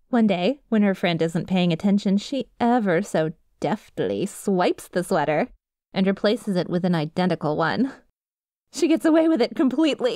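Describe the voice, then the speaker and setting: A woman's voice: fairly high pitch, darkish tone, no room sound, clean studio sound, heavily acted. A woman voicing a lovesick, obsessive best friend in an ASMR roleplay, telling her story in a coy, rambling, self-justifying way. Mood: sly, smitten.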